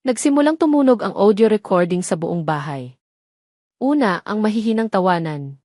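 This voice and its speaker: A woman narrates a dramatic audio story. Her voice sits at an average pitch. The sound is dry, with very little room on it. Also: expressive, moderate pace, warm tone, dry recording.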